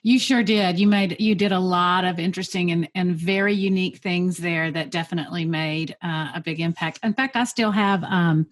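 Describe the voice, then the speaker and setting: A woman's voice: lowish voice, steady tone, moderate pace, hardly any pauses. A woman hosting a podcast, upbeat and encouraging toward her guest. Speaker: podcast host. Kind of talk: casual interview hosting.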